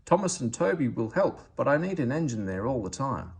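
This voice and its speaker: A man voicing an authoritative but kindly railway boss in a storybook, brisk and commanding. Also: medium pitch, big pitch swings, slightly rough. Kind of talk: storybook character voice.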